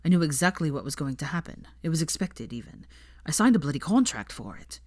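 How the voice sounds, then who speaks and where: A woman doing a low, troubled young man. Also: very animated, brisk, dry recording, studio quality. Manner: low, subdued, breathy delivery. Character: anxious young man, strained, distressed.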